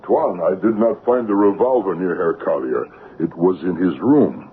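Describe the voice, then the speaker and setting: An actor playing a composed, deferential Congolese servant in an old radio drama, speaking in a measured, grave, respectful way. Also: male voice, low-pitched, natural voice. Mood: solemn, conspiratorial. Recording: band-limited tinny vintage radio broadcast, faint noise.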